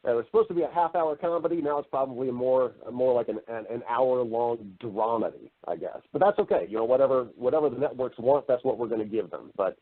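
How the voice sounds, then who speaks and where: A man's voice: mid-range voice, fast talker, few pauses. A man chatting casually as an interview guest, talkative and given to explaining things at length in anecdotes. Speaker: interview guest, voice-over artist and actor. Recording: narrow, lo-fi phone-like line.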